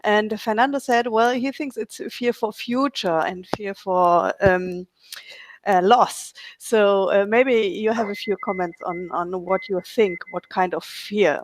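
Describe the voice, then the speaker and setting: A woman hosting a video call interview, speaking haltingly with many fillers. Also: medium pitch, poor audio. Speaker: host.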